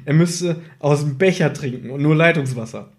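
A man's voice: a little high, moderate pace. A man co-hosting a podcast in casual, playful, storytelling chat, sharing one microphone in a living room. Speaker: podcast co-host. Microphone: one microphone shared by two people.

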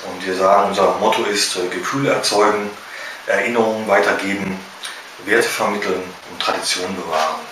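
A man in his fifties with a Ruhr German accent, a small business owner giving a halting trade fair statement full of filler sounds. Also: low voice, fairly even intonation, bright, forceful tone, slight background noise. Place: indoor room with shelves. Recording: roomy echo from a distance.

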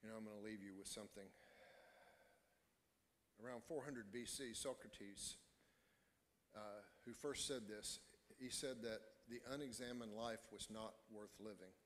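Middle-aged pastor in an echoey hall. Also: male voice, on the low side, unhurried, pauses often, a bit bright. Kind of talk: sermon to a congregation. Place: church stage, indoors. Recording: echoey hall with long reverb.

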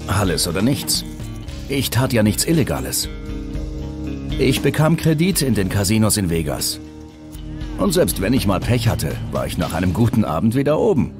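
Low-voiced, animated male gambler over music. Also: normal speed. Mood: matter-of-fact, self-justifying. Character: reckless male gambler and ex-convict, confessing ruefully. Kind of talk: documentary voice-over dub, first-person account.

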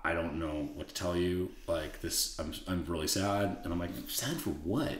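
Raspy low guy swearing casually, roomy sound. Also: moderate pace, noisy background. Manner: blunt, swears freely, laughs easily. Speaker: podcast guest. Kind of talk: casual interview chat. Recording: roomy echo.

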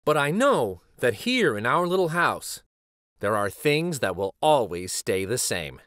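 A middle-aged man reads a picture book aloud as host, in an expressive, dramatic manner. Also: mid-range voice, a little slow, dry recording, studio quality. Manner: animated, dramatic. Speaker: children's storybook reader and show host.

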